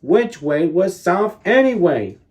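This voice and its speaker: A man in his thirties reads a children's storybook aloud at an unhurried pace. His pitch swings widely as he stresses key words for drama, in a deliberate, expressive storytelling style. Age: 30s. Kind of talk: expressive picture book read-aloud.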